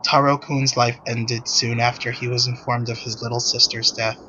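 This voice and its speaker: A man's voice: mid-range voice, flat delivery, moderate pace, bright, forceful tone, dull audio, a little hiss or hum. A man reading scripted story narration as the narrator of a visual novel. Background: music.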